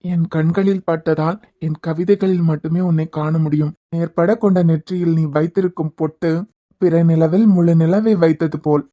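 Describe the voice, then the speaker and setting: A man playing a young man secretly in love, speaking softly and poetically. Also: on the high side, steady tone, normal speed, natural voice. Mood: tender. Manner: soft, tender and poetic. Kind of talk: romantic audio drama acting.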